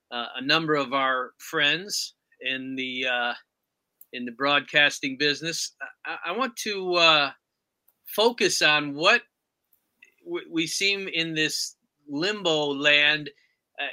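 American male sports interviewer, higher-pitched, piercingly bright, deliberate and hesitant. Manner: halting, deliberate, frequent long hesitations.

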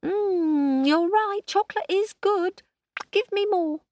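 A woman voicing a greedy, cheeky little male crocodile who craves chocolate, in a squeaky sing-song manner. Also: slow, a little mellow, a little hiss or hum.

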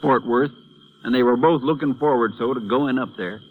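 An actor playing a kindly, homespun small-town Texas sheriff, sympathetic and conversational, in a 1950s western radio drama. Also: male voice, medium pitch, normal speed, narrow, tinny audio, natural voice. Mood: saddened, fond. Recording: noisy old radio broadcast recording.

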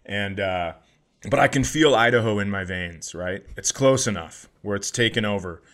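A man's voice: lowish voice, normal speed, fairly bright tone. Profane, ranting male comedian. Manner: profane, mock-indignant ranting. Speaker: comedian and podcast co-host. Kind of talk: casual podcast chat and storytelling.

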